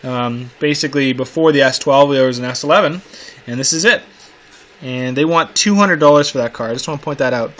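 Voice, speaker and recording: A man's voice: medium pitch, brisk, fairly bright tone. A man giving casual, off-the-cuff commentary like a car enthusiast, with wry, enthusiastic asides muttered to himself. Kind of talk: casual off-the-cuff commentary while browsing classified ads.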